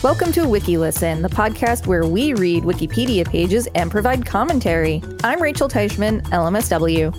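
A woman's voice: mid-range voice, lively intonation, normal speed, few pauses, music in the background. Woman reading an article aloud. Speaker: podcast co-host reading aloud.

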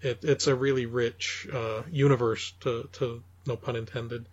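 A man co-hosting a podcast in casual conversation, haltingly repeating words as he searches for his phrasing. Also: medium pitch, flat delivery, husky. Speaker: podcast co-host. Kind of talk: casual chat reviewing audio dramas. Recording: dull, lo-fi voice recording.

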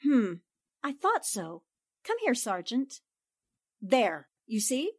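A woman's voice: fairly high pitch, big pitch swings, no room sound, studio quality, exaggerated voice. A woman playing a poised, inquisitive newspaper reporter who speaks politely and precisely, in 1940s radio drama style. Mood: thoughtful. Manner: polite, precise, deliberate questioning.

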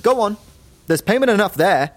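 A man's voice: high-pitched, lively intonation, normal speed, pitched above the performer's own voice. A man voicing a frightened, desperate young man who pleads and stammers, in an audio drama with music behind him. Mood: frantic pleading.

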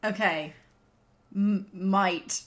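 A woman's voice: mid-range voice, fairly even intonation, drawn-out words, fairly bright tone. A woman co-hosting casual comic banter, playfully sceptical and prone to giggling. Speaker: co-host following along.

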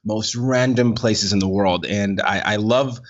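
A man's voice: lowish voice, a little slow, dull audio, a little hiss or hum. A man hosting a podcast, effusive and upbeat, often repeating himself as he talks to listeners and his guest. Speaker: podcast host. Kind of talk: podcast intro and interview.

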